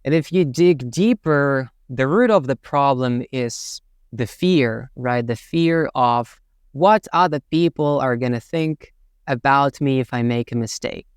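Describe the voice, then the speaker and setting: Russian-accented young man with a smooth voice. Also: mid-range voice, a little slow, dry recording, clean studio sound. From Tatarstan, Russia. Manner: thoughtful, with many fillers like "you know" and "right". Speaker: English teacher, channel host. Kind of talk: reflective talk to camera.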